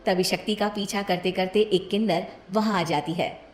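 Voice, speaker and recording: A woman's voice: mid-range voice, fairly even intonation, moderate pace, reverberant. A woman reading a scripted cartoon moral story as its narrator.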